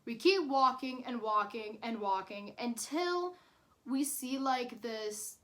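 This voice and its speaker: A woman's voice: on the high side, animated, takes it slowly, fairly bright tone. A woman in her twenties telling a personal story casually, in a chatty, informal way with dramatic emphasis. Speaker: YouTube storyteller.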